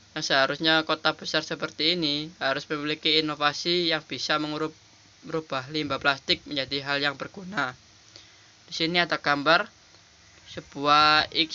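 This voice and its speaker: A young man giving a halting, polite class presentation over slides, his voice hoarse from a cold, recorded while minding a small shop. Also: fairly high pitch, sharp tone. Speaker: student presenter. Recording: lo-fi home recording.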